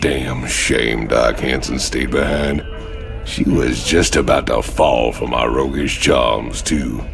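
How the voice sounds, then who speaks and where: A swaggering outlaw marine from a sci-fi game. His voice is extremely deep and gravelly, with a slow drawl. He teases like a cocky old buddy over faint music. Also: fairly even intonation, heavily acted. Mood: teasing, cocky. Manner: drawling, teasing. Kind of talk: video game cutscene acting.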